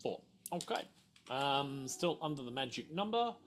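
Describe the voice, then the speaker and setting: A man refereeing a casual tabletop game, halting and often repeating himself. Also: medium pitch, big pitch swings, noisy background. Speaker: game master.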